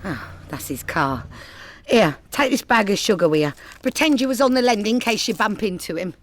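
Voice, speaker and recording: Actress as a brassy London housewife, swooping voice. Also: female voice, mid-range voice, expressive, moderate pace, natural voice. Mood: hurried. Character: brassy, scolding cockney housewife and mother. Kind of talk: comedy radio play acting.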